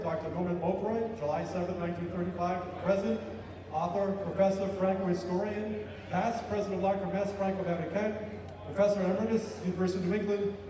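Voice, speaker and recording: A man acting as master of ceremonies, reading award citations in a formal, measured way at a ceremony. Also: sits high. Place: large hall with an audience. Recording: echoey hall recorded from far off.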